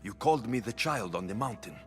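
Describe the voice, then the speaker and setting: Blunt Spartan mercenary with swooping delivery voiced by an actor. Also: male voice, on the low side, expressive, brisk, faint music behind, natural voice. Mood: pressing. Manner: blunt, questioning, intense. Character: determined young Spartan mercenary hero, blunt and probing. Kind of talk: dramatic video game acting.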